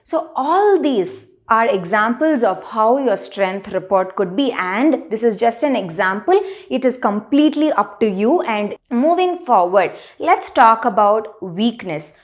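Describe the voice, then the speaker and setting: A woman's voice: fairly high pitch, lively intonation, measured pace, hardly any pauses. A woman working as a public speaking trainer, giving a video lesson in an emphatic, teacherly way and counting out her points one by one. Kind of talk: scripted video lesson to camera.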